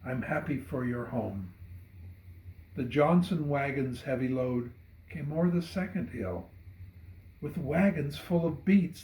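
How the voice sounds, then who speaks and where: A man reading a narrative poem dramatically, in measured and solemn storytelling. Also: fairly high pitch, darkish tone. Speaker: poet reading own poem. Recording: roomy echo.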